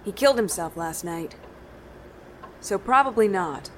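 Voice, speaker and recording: An actress playing a blunt, weary, bitter middle-aged countrywoman in an audio drama, speaking gruffly and matter-of-factly. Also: mid-range voice, animated, unhurried, a little hiss or hum, close to an everyday voice. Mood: bitter, flat. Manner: blunt, matter-of-fact, swearing.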